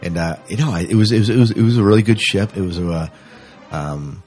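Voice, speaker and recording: A man's voice: sits low, expressive, fast, darkish tone, husky, faint music behind. A man hosting a podcast in casual chat, agreeable and prompting his co-host with questions.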